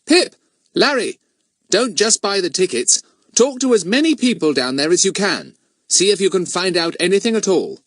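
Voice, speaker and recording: Man as a bossy, high-pitched boy leader. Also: lively intonation, normal speed, a bit bright, dry recording, studio quality, heavily acted. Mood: urgent, bossy. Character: bossy, confident boy leader of a child detective gang.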